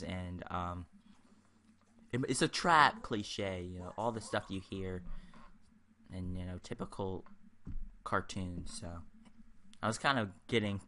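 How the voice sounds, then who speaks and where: Bright-voiced man rambling through game commentary. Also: fairly low pitch. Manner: rambling, hesitant, repeats himself. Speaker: gaming video host. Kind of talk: casual game walkthrough commentary.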